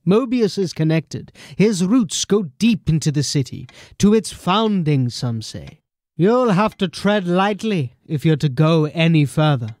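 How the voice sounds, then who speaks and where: A man playing a stern, skeptical but loyal police captain in an audio drama, measured and admonishing. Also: fairly high pitch, expressive, darkish tone, no room sound, clean studio sound. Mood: grave, cautioning.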